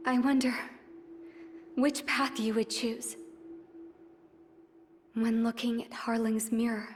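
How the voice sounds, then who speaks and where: An actress voicing a calm, thoughtful young princess who speaks softly and in measured tones. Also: female voice, on the high side, slight background noise. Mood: musing. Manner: soft, measured, pensive. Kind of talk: game cutscene narration. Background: loud music score.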